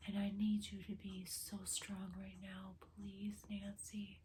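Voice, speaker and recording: A woman talks mostly in a hushed whisper, slow and even, her voice low and flat as she gently pleads and coaxes. The recording is made at home, with a faint hiss underneath. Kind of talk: spirit communication vlog.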